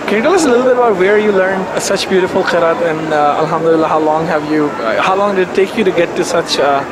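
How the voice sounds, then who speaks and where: A man interviewing conference attendees in the hallways, asking quick, upbeat questions. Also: high voice, very noisy.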